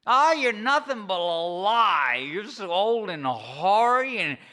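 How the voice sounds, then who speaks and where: A man putting on a shrill, blustering fisherman. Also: sing-song, slow, bright, forceful tone, heavily acted. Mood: indignant. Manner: blustery, indignant. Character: fisherman Charlie, hot-headed, high-pitched.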